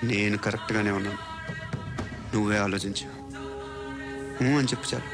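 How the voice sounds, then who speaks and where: A man's voice: fairly low pitch, steady tone, normal speed, over music, lightly acted. A man playing a blunt, cocky young hitman in a film, talking with nonchalant impatience. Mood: cool, cocky. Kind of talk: film dialogue. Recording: loud film score behind voice.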